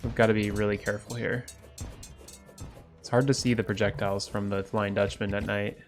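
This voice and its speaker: A man with a congested, stuffy voice from a cold, giving upbeat let's play commentary on a game and getting excited over loot. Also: fairly low pitch, brisk, soft background music. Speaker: gaming YouTuber.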